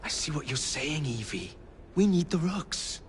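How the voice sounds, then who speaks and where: An actor with a British accent playing a cocky, brash young assassin who fancies himself a gang leader, wry and swaggering, in a video game. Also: male voice, on the high side, sing-song, a little slow. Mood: determined. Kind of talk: video game cutscene acting. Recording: loud music and outdoor noise behind.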